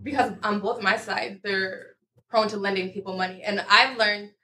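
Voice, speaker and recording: A woman's voice: mid-range voice, fairly even intonation, normal speed, bright, forceful tone. A woman on a discussion panel, explaining her views at length in a thoughtful, talking-it-through way. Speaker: panel guest. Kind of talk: casual debate.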